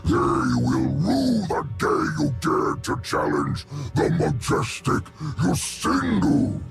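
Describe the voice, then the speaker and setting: An actor playing a pompous, menacing male Hutt crime lord in a video game, with a deep gravelly drawl. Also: huge pitch swings, faint music behind, heavily acted. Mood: boastful. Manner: slow, boastful, threatening.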